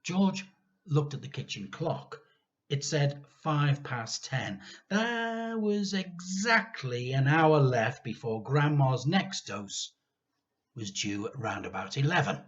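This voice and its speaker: Slow, animated male storyteller. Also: medium pitch, dull audio. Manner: dramatic emphasis with deliberate pauses. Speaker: teacher reading aloud. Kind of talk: expressive children's story narration.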